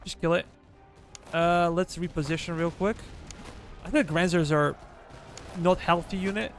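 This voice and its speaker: A man's voice: fairly high pitch, steady tone, moderate pace, faint music behind. A man from Serbia with a Serbian accent, casually commenting live on a strategy game while ordering his troops and chatting with viewers. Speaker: game streamer.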